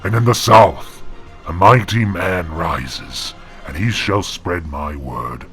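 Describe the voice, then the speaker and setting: A man voicing a wrathful, commanding angel in an audio drama, with a metallic, inhuman, gravelly voice and solemn, archaic prophetic delivery. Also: low-pitched, slow, fairly bright tone, soft background music. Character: wrathful, commanding male angel possessing a man's body. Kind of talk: creepypasta-style audio drama.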